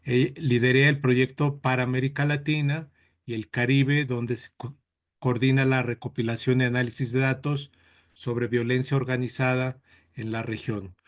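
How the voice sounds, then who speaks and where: A man introduces the guest at an academic seminar. He sounds polite, with a mid-range voice, and pauses on fillers now and then. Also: mid-range voice, little pitch movement. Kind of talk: formal introduction.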